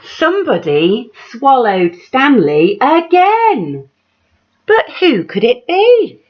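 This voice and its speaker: A woman reading a children's picture book aloud like a teacher, dramatic and measured, putting questions to her young listeners. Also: high-pitched, sing-song. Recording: dull, lo-fi sound.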